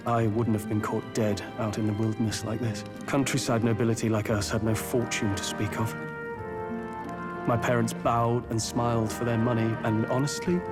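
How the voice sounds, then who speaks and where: Guy playing a young noble in a video game, earnest, reflective, kinda bitter, clear music under it. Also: fairly low pitch, close to an everyday voice. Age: young adult.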